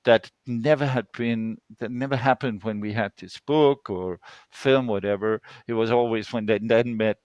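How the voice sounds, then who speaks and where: An older man from Denmark with a Danish accent, telling personal stories in conversation and pausing to search for words on an online call. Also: lowish voice, no echo. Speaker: guest storyteller.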